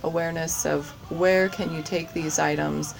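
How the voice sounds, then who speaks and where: A woman's voice: deep voice, steady tone, moderate pace, gravelly, over music, poor audio. A woman answering interview questions about her work, hesitant, with many fillers and restarts. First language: English. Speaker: course participant, general manager.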